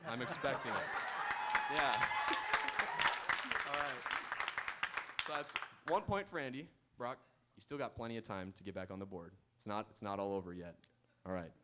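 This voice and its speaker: A man's voice: fairly low pitch, moderate pace, pauses often, a loud crowd, very noisy. A man hosting a live game show on stage, bantering playfully and reading announcements to the audience. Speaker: quiz show host. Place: auditorium stage.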